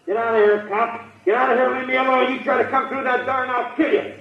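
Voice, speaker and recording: A man playing a desperate, cornered gunman yelling threats in a 1950s radio crime drama. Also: very high-pitched, steady tone, fast. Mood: frantic, threatening. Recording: echoey, distant, muffled old radio recording.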